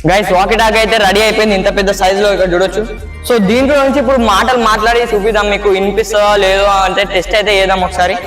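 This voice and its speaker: A man's voice: sits high, steady tone, rapid-fire, a bit bright. A man with a Telangana Telugu accent hosting a casual how-to vlog, explaining informally step by step and repeating himself. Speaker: host of a DIY experiments channel. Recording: echoey sound with music behind.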